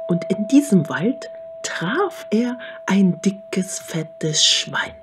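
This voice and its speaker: A woman telling a fairy tale freely to children, expressive and addressing her listeners directly. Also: medium pitch, drawn-out words, background noise. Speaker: storyteller.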